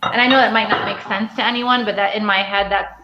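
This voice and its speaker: A woman's voice: medium pitch, steady tone, fast, noisy background. A woman in her forties, a guest distiller, chatting casually and rambling with easy laughs over a video call. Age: 40s. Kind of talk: casual livestream chat. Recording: echoey, dull, faint clicks.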